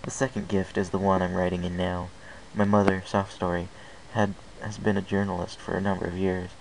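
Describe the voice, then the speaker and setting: Male amateur story narrator, lowish and gravelly, reading in first person over faint hiss. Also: flat delivery, brisk. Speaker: amateur fan fiction reader. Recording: hissy amateur home recording.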